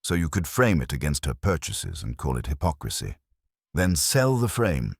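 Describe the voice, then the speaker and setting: A man's voice: a bass voice, huge pitch swings, moderate pace, husky, dry recording, clean studio sound, heavily acted. A man voicing a shrewd detective's assistant who needles and accuses in a wry, pointed, clipped way. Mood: accusing.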